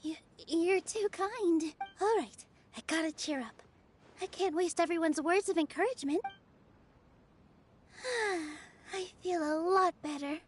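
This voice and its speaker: A sweet, earnest young dancer in a video game. Her voice sits very high and she speaks gently and politely, with slight noise in the audio. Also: a little slow. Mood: grateful, relieved. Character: sweet, sincere, anxious young female dancer. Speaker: game voice actor. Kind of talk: scripted game dialogue.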